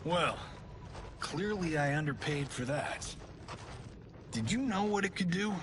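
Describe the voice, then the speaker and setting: A man's voice: on the high side, lively intonation, unhurried, noisy background. A man playing a cocky, flippant ally in a video game, talking in a wry, casual way. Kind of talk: video game cutscene acting.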